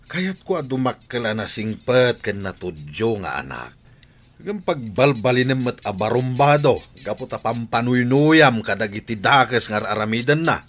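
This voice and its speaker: A man's voice: medium pitch, expressive, normal speed, a bit bright. A man with an Ilocano accent playing a stern but reasonable father in a radio drama.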